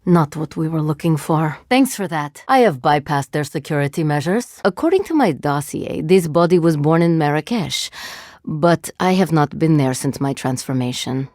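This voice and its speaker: A woman voicing a video game cyborg woman, curious, literal-minded and coolly menacing, in formal, measured phrasing. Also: deep voice, animated, a little mellow, dry recording, clean studio sound. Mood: calm, curious. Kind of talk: video game character voice lines.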